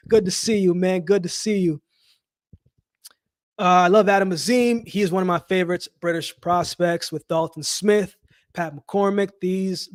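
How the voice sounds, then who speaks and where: A high-voiced man hosts a casual live chat in a dry, close-sounding space. He talks in loose slang and often says a phrase again for emphasis, as if speaking straight to his regulars. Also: no echo. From Jamaica-born, raised in Canada. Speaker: livestream host. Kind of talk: casual live chat with viewers, boxing commentary.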